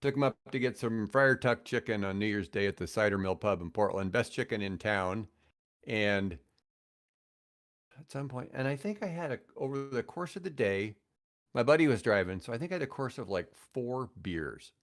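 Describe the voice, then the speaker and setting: A man's voice: lowish voice, lively intonation, moderate pace. An older American man chatting casually on a podcast as a guest, telling anecdotes in a rambling, conversational way. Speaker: podcast guest, retired radio host.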